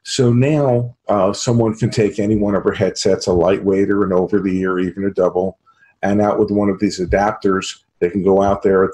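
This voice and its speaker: Deep voiced american guy, sales exec pitching gear on a video call, pauses and repeats words. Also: warm tone, rough recording. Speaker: sales executive, interview guest. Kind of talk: product pitch in an interview. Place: small home office, indoors. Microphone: computer video call.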